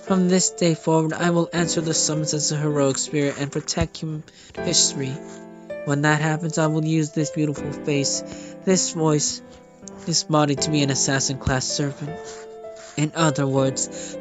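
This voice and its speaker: A man's voice: fairly high pitch, steady tone, moderate pace, lightly acted. A man voicing a proud, vain former pharaoh queen with a formal, stately manner. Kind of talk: acted fantasy game cutscene reading. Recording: music behind the voice.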